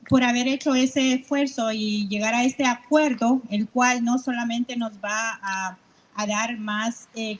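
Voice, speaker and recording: A woman's voice: fairly high pitch, a little hiss or hum, poor audio. A woman hosting a press event, introducing speakers with many fillers. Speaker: host. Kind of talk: emceeing a press event in two languages. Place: outdoor rally.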